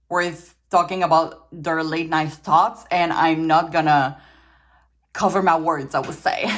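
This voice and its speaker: Quebecois woman reading tarot, kinda low voice, rambly and giggly, sounds a bit tired. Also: fairly bright tone. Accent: Quebec French. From Quebec, Canada. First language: French. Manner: chatty, laughs often, trails off and restarts. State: a little tired. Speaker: tarot reader. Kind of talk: casual tarot reading to camera. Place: indoors at a table with trinkets.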